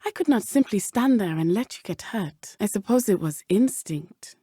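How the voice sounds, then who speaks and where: A woman's voice: mid-range voice, animated, normal speed, dark tone, husky, dry recording, clean studio sound. An actress playing an earnest, brave and loyal teenage witch in a video game, speaking in formal, careful phrasing. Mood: sincere, earnest. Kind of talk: video game character dialogue.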